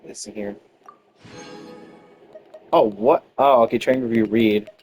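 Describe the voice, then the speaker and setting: A man's voice: medium pitch, unhurried, darkish tone, faint music behind. A man giving casual gameplay commentary, rambling and thinking aloud as he goes. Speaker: gamer commenting on own playthrough.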